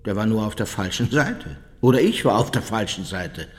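In a long reverb, a low-voiced man an actor plays a boastful former officer in a radio drama. His delivery is animated, emphatic and self-justifying. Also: normal speed, natural voice. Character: unrepentant, boastful former Nazi secret police officer. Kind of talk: dramatized interview voice-over.

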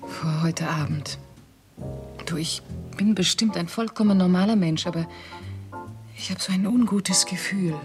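A woman acting as a gentle, uneasy young fiancée, speaking softly and earnestly in a 1960s German radio crime play. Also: on the low side, measured pace, long pauses, lightly acted. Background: music.

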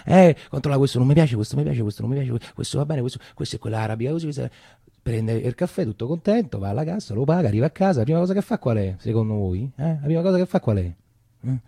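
Mellow, grumbling Roman shopkeeper. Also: male voice, mid-range voice, expressive, quick pace, vocal fry, breathy edge, dry recording, clean studio sound. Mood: chatty, grumbling. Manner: rambling, colloquial, repeats himself. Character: weary, chatty working-class Roman coffee-shop keeper, grumbling and self-pitying. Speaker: stage actor. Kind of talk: theatrical monologue for radio.

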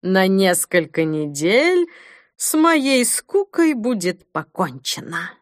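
A woman voicing a haughty, cruel male bishop in an audiobook, with a slow, soft, menacing drawl. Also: medium pitch, very animated, dry recording, clean studio sound. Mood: smug. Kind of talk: audiobook character acting.

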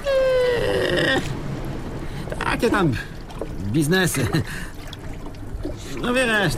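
An actor in a radio drama playing a sardonic small-time crook adrift at sea, with a sarcastic, needling manner. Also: male voice, fairly high pitch, exaggerated voice. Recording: clear water and sea noise behind.

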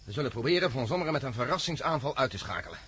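Radio drama commander, played by a man: decisive, wary, rapid delivery, clearly audible ambient noise behind. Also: mid-range voice, animated, fairly bright tone, close to an everyday voice. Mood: brisk command. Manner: brisk, commanding. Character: decisive male expedition commander, brisk and wary. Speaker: actor in a radio play.

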